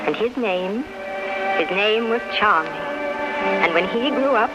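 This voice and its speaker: An actor in a 1930s radio drama playing an indulgent grown-up telling children a fairy tale in a gentle, measured way. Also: mid-range voice, lively intonation, lightly acted. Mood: storytelling. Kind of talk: 1930s radio acting, storytelling. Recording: muffled old radio broadcast under loud music.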